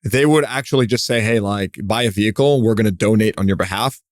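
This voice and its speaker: A man's voice: lowish voice, brisk, no echo, clean studio sound. A man hosting a business podcast, asking curious questions in a loose style full of fillers. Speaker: podcast host. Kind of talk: podcast interview.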